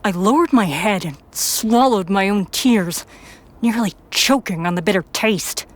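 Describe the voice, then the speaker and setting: A woman's voice: medium pitch, a little slow. A woman reading scripted first-person story narration for an audio drama.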